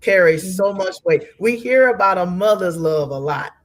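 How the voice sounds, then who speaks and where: A woman giving reflective interview answers as a panel guest, halting and earnest, pausing between phrases. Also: fairly low pitch, animated. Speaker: panel guest from a stage play.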